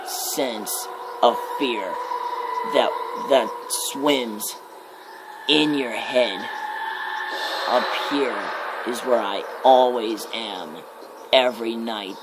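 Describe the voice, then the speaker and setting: A man voicing a menacing demon of fear, death and darkness in a slow, ominous ASMR horror monologue with deliberate pauses. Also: mid-range voice, very noisy.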